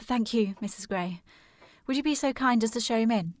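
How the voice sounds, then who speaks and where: A woman's voice: on the high side, fairly quick, natural voice. An actress playing a polite, earnest and determined young lady in a period audio drama, with formal speech. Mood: composed, courteous. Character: distressed, polite, determined young lady searching for her sister.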